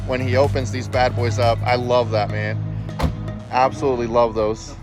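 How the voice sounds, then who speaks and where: A man's voice: medium pitch, little pitch movement, moderate pace. Enthusiastic rambling man vlogging over music. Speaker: channel host. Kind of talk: casual car vlog talk to viewers. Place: in a car, later outdoor parking lot. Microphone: phone camera mic.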